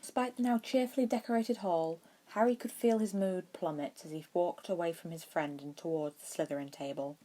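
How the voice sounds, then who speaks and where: A woman's voice: lowish voice, steady tone, brisk, hardly any pauses, mellow, low-quality recording. A woman reading a story aloud as scripted narration. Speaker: fan fiction podfic reader.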